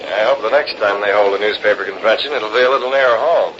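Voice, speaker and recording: An actor playing a shrewd, guarded newspaper publisher in an American radio drama, measured and dryly polite, with an American accent on a dull, noisy old recording. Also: male voice, on the low side, lightly acted. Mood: dry, probing.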